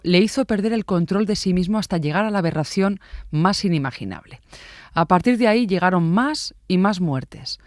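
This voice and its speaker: A man reading scripted podcast narration in a clear, even documentary manner. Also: high-pitched, no room sound, clean studio sound.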